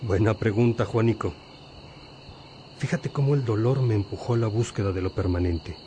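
A man's voice: fairly low pitch, normal speed, dark tone, slight background noise, lightly acted. An actor playing a wise, patient older priest who teaches his disciples reflectively in a religious radio drama. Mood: teaching. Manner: reflective, didactic.

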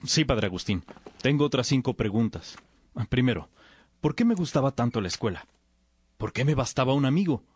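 A man plays a bookish young disciple in a radio drama. His voice is husky and mid-pitched, and he speaks earnestly and deferentially, with careful wording. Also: animated, fairly quick, dry recording. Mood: earnest, questioning.

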